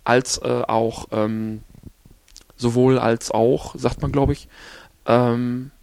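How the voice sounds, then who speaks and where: A man hosting a podcast on his own, hoarse after an illness, rambling along with many fillers and restarts. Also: mid-range voice, dry recording. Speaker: podcast host. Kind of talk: casual solo podcast talk.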